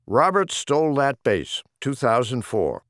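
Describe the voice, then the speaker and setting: Man, audiobook reader, lively swooping intonation, rhythmic theatrical verse recitation, dry close recording. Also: medium pitch, unhurried, no room sound. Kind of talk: scripted reading of humorous rhyming poems.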